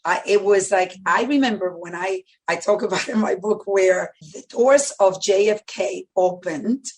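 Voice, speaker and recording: A woman's voice: medium pitch, unhurried, a little mellow, poor audio. Middle-aged woman with a Dominican Spanish accent. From Dominican Republic. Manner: emphatic, repeats phrases. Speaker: podcast guest, medical center executive. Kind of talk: personal storytelling in an interview.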